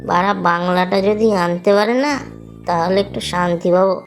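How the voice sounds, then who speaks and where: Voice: mid-range voice, steady tone, normal speed, close to an everyday voice. Someone acting a grumpy lazy cartoon guy, whines and cusses, bit of music under it. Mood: scheming. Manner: whining, exasperated, coarse comic bluster. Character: foul-mouthed lazy man griping about summer heat. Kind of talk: Bengali comedy cartoon voice acting.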